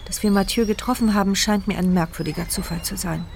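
A woman's voice: medium pitch, steady tone, quick pace, vocal fry, lightly acted. An anxious inner voice of a paralysed woman, voiced by an actress, speaking thoughts no one else can hear. Her tone is tense and introspective, set against faint music. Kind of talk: radio play inner monologue.

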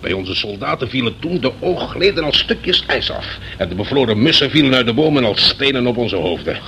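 Old radio play, gruff old male general, gravelly, forceful, pompous, boastful war veteran. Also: medium pitch, very animated, brisk, slight background noise. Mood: grim. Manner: pompous, declamatory. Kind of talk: Dutch radio play acting.

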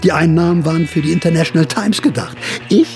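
A man's voice: fairly high pitch, quick pace, a little mellow, faint music behind, close to an everyday voice. An actor playing a sly older counterculture veteran who narrates his memories in a radio drama, confiding, wry and theatrical. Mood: wry, reminiscing.

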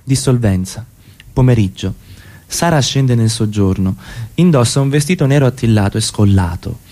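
A man's voice: medium pitch, animated, moderate pace, mellow. A man reading scripted stage directions as the narrator of a radio play.

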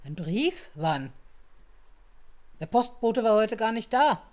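A woman's voice: fairly high pitch, very animated, takes it slowly, a little mellow. An actress playing a reproachful, anxious mother in a radio drama, nagging and wary.

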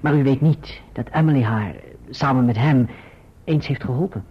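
An actor playing an earnest, courteous young gentleman who also narrates his own story in a Dutch radio play. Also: mid-range voice, moderate pace, breathy edge, natural voice. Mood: earnest, urgent. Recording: muffled old radio recording.

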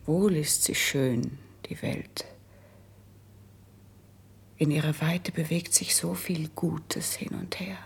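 An actress playing a noble, lovesick young princess in a classical verse radio play, declaiming solemnly and wistfully in a hushed, breathy voice. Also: female voice, deep voice, whispered, exaggerated voice. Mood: resigned longing. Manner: solemn, wistful, drawn-out poetic phrasing.

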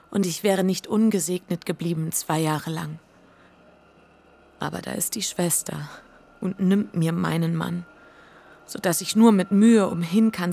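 A woman's voice: fairly low pitch, creaky. An actress playing a grieving young wife who pleads with her husband in a radio play, with a plaintive tone.

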